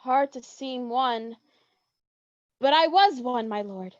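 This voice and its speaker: Lovestruck young maiden played by a woman, high and slow, coy theatrical verse, on dull dry audio. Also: dry recording. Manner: theatrical, earnest verse delivery. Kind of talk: Shakespearean staged reading.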